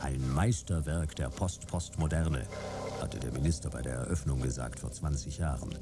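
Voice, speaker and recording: A man's voice: very deep voice, normal speed, loud music behind the voice. A man playing a detective who narrates his own case in a terse, wry noir style for a radio drama. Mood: dry, sardonic.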